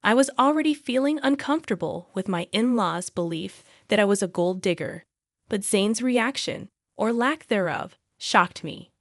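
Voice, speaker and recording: A woman's voice: mid-range voice, expressive, moderate pace, no echo, clean studio sound. A woman reading a scripted first-person story as its narrator.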